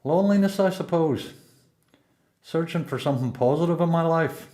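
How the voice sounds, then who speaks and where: A man's voice: a little high, normal speed, warm tone, natural voice. A man with a Northern Irish accent playing a reflective, plain-spoken, regretful long-term prisoner in a radio play. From Belfast, Northern Ireland. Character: reflective seasoned male prisoner, regretful absent father. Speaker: amateur performer in a radio play. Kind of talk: radio play dialogue and monologue. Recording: echoey room.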